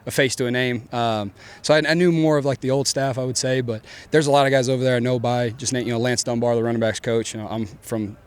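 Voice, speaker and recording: A man's voice: medium pitch, steady tone, fast, clear, resonant tone. A man with an East Texas accent, a football coach giving casual, upbeat press conference answers full of fillers.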